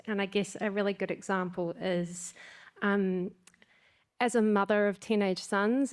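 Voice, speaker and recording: A middle-aged woman with a medium-pitched voice. She asks her question from the audience on a handheld mic, in a conversational way. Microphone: handheld roving microphone.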